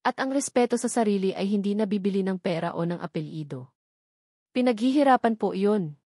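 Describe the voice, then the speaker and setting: A woman's voice: mid-range voice, fairly quick, a little mellow, no room sound, close to an everyday voice. A woman plays a dignified young man in an audiobook love drama. He is earnest, firm and respectful, with a dry recording around the voice. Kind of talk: audiobook melodrama acting.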